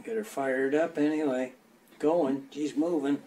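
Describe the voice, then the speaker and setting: A man's voice: medium pitch, fairly even intonation, a little slow, mellow, rough recording. An older man, chatting casually and plainly as he explains old footage. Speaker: local commentator on old film. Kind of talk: casual reminiscing chat over old footage.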